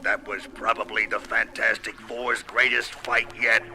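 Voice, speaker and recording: An actor playing a gruff, wisecracking brawler of a superhero on a 1970s record, telling his story in sardonic tough-guy slang. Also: lowish voice, little pitch movement, a little slow, close to an everyday voice. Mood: wry, boastful.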